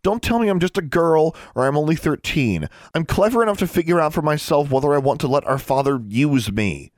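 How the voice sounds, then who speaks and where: A fiery thirteen-year-old girl, as a male narrator puts her on, pitched well above his own register. She is insistent, sharp-tongued and indignant, with lively swings in pitch. It is storybook reading in a very dry, close recording. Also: fast, no room sound. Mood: pleading.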